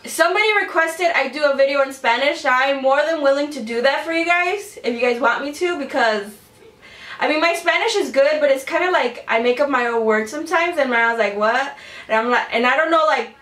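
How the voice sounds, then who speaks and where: A woman's voice: sits high, a little fast, a bit bright. A young woman in her twenties chatting casually about makeup as a beauty vlogger, rambling with lots of fillers and sounding a bit congested from a cold. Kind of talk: casual chat to camera. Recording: roomy echo, mic a bit distant.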